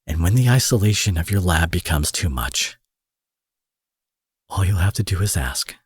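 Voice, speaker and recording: A low-voiced villain played by a man speaks in an intimate audio drama, coaxing and possessive. His husky delivery swings widely from forceful declarations to breathy murmurs. The sound is close and dry, with a theatrical, protective menace. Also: expressive, moderate pace, no room sound, exaggerated voice. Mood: tender, devoted. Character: smooth protective male supervillain courting his captive rival. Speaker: audio roleplay performer.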